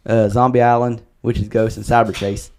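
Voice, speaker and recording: A man hosting a relaxed podcast conversation in a middle-pitched voice. His voice is wearing thin from long talking, and he rambles and corrects himself as he goes. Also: moderate pace. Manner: rambling, self-correcting, wraps up the show. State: losing his voice from talking a lot. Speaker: podcast host. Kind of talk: casual chat.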